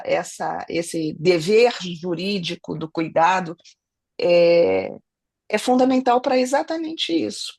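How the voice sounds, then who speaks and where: A middle-aged woman with a Brazilian Portuguese accent, hoarse from a cold, speaking earnestly as a family lawyer and mediator on an online webinar, often restarting phrases and adding tag questions. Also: mid-range voice, no room sound. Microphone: video call.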